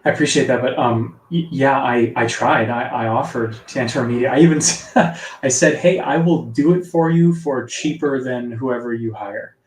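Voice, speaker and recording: A man with a soft, sleepy-sounding voice, chatting casually as an author guest in a live interview. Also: mid-range voice, moderate pace. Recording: echoey room, distant from mic.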